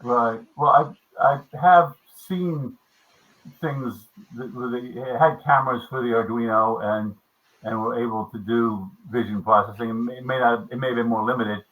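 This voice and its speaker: A man's voice: medium pitch, steady tone, moderate pace, phone-quality sound. A man in his seventies chatting casually in a meeting, rambling with many restarts and fillers. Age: 70s. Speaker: maker club member. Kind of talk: casual video meeting chat.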